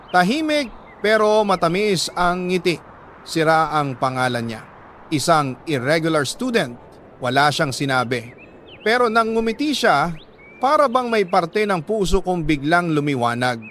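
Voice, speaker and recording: A Filipino man reading a listener's letter as a storytelling host, in dramatic, sentimental narration with deliberate phrasing and pauses. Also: fairly high pitch, fairly bright tone, slight background noise.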